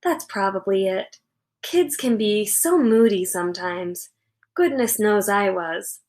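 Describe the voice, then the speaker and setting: A woman's voice: mid-range voice, lively intonation, slow pace, darkish tone. A woman voicing a worried, fretting mother in a children's storybook. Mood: reasoning, fretful.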